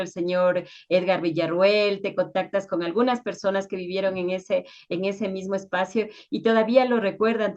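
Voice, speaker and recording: Slightly muffled lo-fi audio; female interviewer, Quito accent, medium pitch, conversational questioning. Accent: Ecuadorian, Quito. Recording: dull, lo-fi sound.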